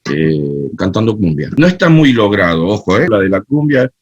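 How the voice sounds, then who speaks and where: A man with an Argentine accent, a guest telling animated, opinionated stories in a casual interview chat. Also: on the low side, normal speed, slightly rough, lo-fi sound. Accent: Argentine Spanish. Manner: animated storyteller, frank and opinionated. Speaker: guest, cumbia festival creator.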